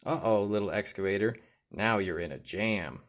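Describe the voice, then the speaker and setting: Male storyteller, low voice, reading a picture book to a young child with rhythmic, deliberate emphasis. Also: a bit bright. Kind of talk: read-aloud children's storytelling.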